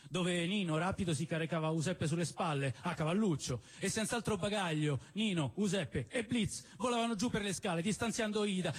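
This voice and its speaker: Higher-voiced man reading dramatically. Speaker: storyteller reading literary prose at a live show. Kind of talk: expressive dramatic reading of a novel. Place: live stage at a celebration event in a cinema venue.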